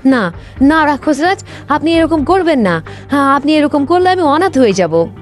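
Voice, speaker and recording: A woman's voice: sits high, sing-song, normal speed, faint music behind. A woman voicing a young, fretful princess in a fairy-tale cartoon, speaking in a theatrical, plaintive way. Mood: pleading.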